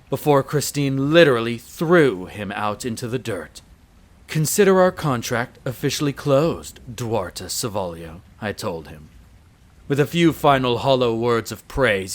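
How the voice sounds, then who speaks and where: A man's voice: medium pitch, natural voice. A man playing a haughty, urbane vampire master in an audio roleplay, condescending and coolly menacing, speaking with theatrical relish. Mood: scornful relish. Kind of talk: dramatic audio roleplay narration.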